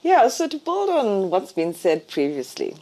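A woman's voice: medium pitch, huge pitch swings, measured pace, mellow. A woman answering conversationally as a guest on a panel.

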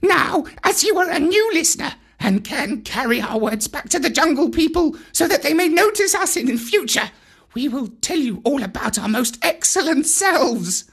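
A reader voicing a mob of boastful, chattering monkeys in a high, shrill chant for an audiobook. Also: expressive, normal speed, gravelly, fairly bright tone, heavily acted. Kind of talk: audiobook character voice.

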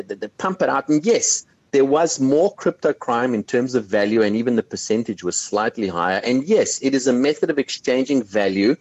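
A man's voice: mid-range voice, no room sound, low-quality recording. South African man, dry close sound. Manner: animated, repeats words when starting thoughts. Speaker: crypto commentator. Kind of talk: interview answers. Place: Copenhagen.

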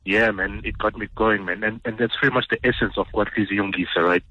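A South African man chatting casually as an interview guest, informal and halting, over a call-in line. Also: on the low side, steady tone, husky. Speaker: interview guest, animator or comic writer. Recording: dull, band-limited radio call-in line.

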